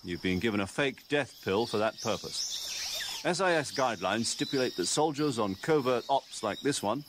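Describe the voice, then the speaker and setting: An actor playing an authoritative older British military commander, giving a measured briefing in a video game. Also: male voice, medium pitch, animated, natural voice. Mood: stern briefing. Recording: game audio with loud insect noise.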